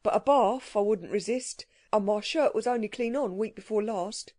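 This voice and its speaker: A woman voicing a rough working-class male burglar, talking in slangy, grumbling dialect. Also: medium pitch, moderate pace, a little mellow, no room sound, clean studio sound, lightly acted. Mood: wary.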